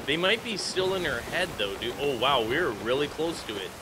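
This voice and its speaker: A man's voice: a little high, sing-song, moderate pace. A man joking around casually with a co-player while playing a video game. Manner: goofy, joking. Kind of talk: casual gameplay banter with a co-player. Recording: clear game noise behind voice. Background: video game sound effects.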